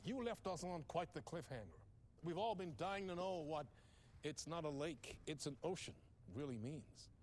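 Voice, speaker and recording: An actor playing an exuberant, slick late-night TV host in a video game, bantering with showy, effusive chattiness. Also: male voice, fairly high pitch, lively intonation, moderate pace, a little mellow, a little hiss or hum, close to an everyday voice. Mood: showy enthusiasm. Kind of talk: theatrical talk show interview banter.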